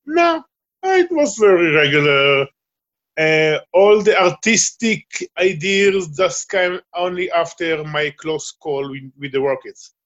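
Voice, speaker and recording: Halting Israeli man on a muffled line. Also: a little high, expressive, a bit bright. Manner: halting, searching for English words, frequent fillers. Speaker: guest. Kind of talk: remote interview conversation.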